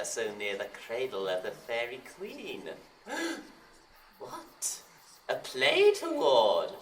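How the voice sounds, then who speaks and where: A man's voice: medium pitch, fairly bright tone, reverberant, recorded from a distance, a little hiss or hum, exaggerated voice, low-quality recording. A man playing a gleeful, mischievous forest sprite in a Shakespearean audio drama, with a swooping theatrical delivery. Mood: sly, scheming.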